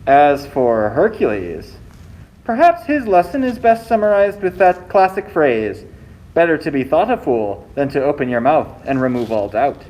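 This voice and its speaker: A man narrating a children's puppet show from a script in a measured, theatrical storybook manner. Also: a little high, big pitch swings, darkish tone, low-quality recording.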